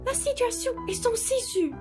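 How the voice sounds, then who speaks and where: A woman's voice: extremely high pitch, expressive, normal speed, loud music behind the voice. A woman playing a wealthy, bossy, theatrical older society lady in a radio play. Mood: scheming.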